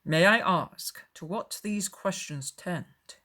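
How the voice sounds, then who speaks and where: Woman playing a stiff, reserved young gentleman. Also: fairly low pitch. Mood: reserved, serious. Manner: constrained, formal, measured. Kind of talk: dramatized audiobook acting.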